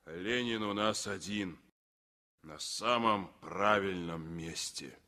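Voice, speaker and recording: A man's voice: deep voice, takes it slowly. An actor playing a thoughtful, solemn navy man in a 1960s radio play. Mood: solemn, approving. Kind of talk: 1960s Soviet radio drama acting. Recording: crowd noise around the voice.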